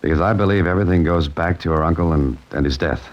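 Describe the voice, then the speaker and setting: Deep-voiced, wry radio sleuth played by a man. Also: quick pace, muffled recording, close to an everyday voice. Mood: dry, concerned. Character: calm, wry male amateur sleuth narrating his case. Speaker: actor playing the narrating lead. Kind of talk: 1940s radio detective drama acting.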